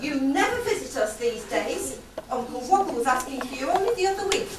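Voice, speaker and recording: A woman playing a proud, excitable relative in an amateur pantomime, declaiming lines on stage. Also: high-pitched, brisk. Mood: proud, chatty. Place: theatre or hall stage with audience. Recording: echoey hall, distant mic, noisy background.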